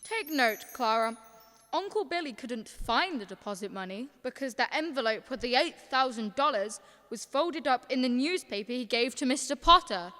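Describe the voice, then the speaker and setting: A woman's voice: sits high, lively intonation, moderate pace, bright, forceful tone. A young woman playing an instructive heavenly overseer, explaining events in a radio drama. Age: young adult. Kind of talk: school radio drama narration. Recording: long hall-like reverb.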